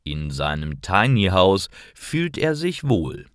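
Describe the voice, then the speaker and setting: Slow, low-voiced man reading learner stories. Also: very animated, no room sound, clean studio sound. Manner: careful, clearly phrased reading in short sentences. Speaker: narrator of language-learning stories. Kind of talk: scripted story narration for learners.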